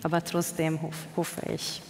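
A woman's voice: sits low, monotone, a little slow, vocal fry, heavy background noise. A woman with a strong Hungarian accent, a Hungarian native speaker from Hungary, answering interview questions as a poet, searching for words and restarting, yet speaking with emphasis. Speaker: poet, guest. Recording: reverberant hall.

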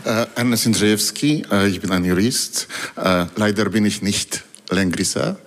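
A man speaking German as a second language, asking a question at a public forum, halting and reading from notes with many fillers. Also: on the low side. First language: not German. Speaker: audience member asking a question. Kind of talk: public town hall question. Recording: hall reverb.

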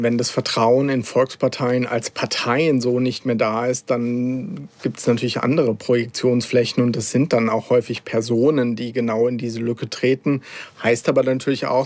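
A man, a political science professor, explaining carefully on a radio call-in show with measured, academic phrasing and frequent hesitations. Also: medium pitch, flat delivery, a little mellow, no room sound.

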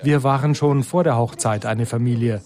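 A man's voice: mid-range voice, normal speed, heavy background noise. A man reading a scripted news report as a radio reporter.

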